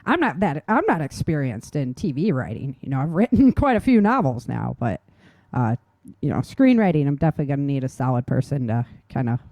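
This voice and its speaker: A woman's voice: extremely low pitch, sing-song, brisk, dark tone, no echo. Casual, enthusiastic woman podcaster, close dry sound. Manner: enthusiastic, informal. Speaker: podcast co-host and novelist. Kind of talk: casual chat.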